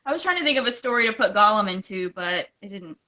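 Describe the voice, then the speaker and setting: A woman's voice: medium pitch, sing-song, fast, sharp tone. A woman chatting casually as a panelist on a group show. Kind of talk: casual chat.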